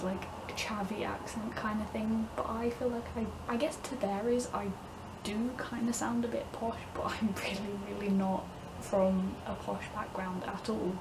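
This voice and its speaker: A woman's voice: mid-range voice, noisy background. Young English woman with a Midlands-northern accent, casual vlogger rambling and laughing, recorded a bit far off with audible ambience. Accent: English Midlands-northern with southern vowels. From England, north West Midlands. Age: young adult. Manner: chatty, laughs often, frequent "like". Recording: distant mic, clear room ambience.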